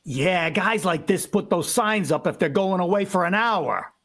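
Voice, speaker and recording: A man's voice: high-pitched, a little slow, fairly bright tone, heavily acted. A man playing a tired, home-loving working husband in a vintage-style radio drama, complaining in plain colloquial speech. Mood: exasperated.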